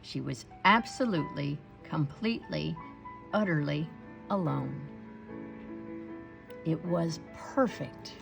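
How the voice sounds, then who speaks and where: Woman storybook narrator: very unhurried, lowish voice with a gravelly edge, expressive delivery for young children. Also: over music. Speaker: storybook read-aloud reader.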